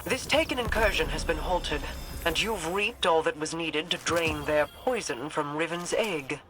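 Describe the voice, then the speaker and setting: A woman's voice: low-pitched, measured pace, over music. A woman playing a regal, resolute commander in a video game, giving formal, composed guidance. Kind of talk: video game mission dialogue.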